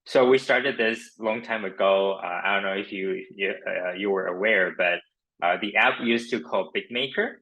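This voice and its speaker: A man with a Taiwanese accent speaks in a very low register that still has a bright, forward edge. He talks casually as a startup founder being interviewed, feeling his way through answers with plenty of filler words. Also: moderate pace, poor audio. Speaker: crypto startup founder and podcast guest.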